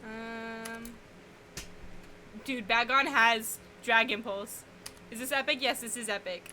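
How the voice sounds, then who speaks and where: A woman's voice: on the high side, fairly even intonation, takes it slowly, sharp tone. A woman streamer chatting casually and laughing often while playing a game, swearing now and then. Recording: noisy home stream recording.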